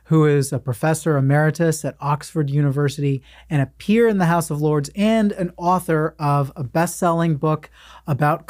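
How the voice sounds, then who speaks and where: A man's voice: on the high side, a little mellow, no echo, clean studio sound. A man hosting a conversational interview as a journalist, introducing and questioning his guest.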